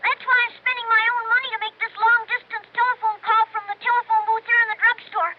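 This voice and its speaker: A woman playing a small, excited boy calling long-distance from a drugstore payphone, in 1950s radio drama style. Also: very high-pitched. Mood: anxious, hurried. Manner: breathless, hurried, pleading. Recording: muffled old radio broadcast with hiss.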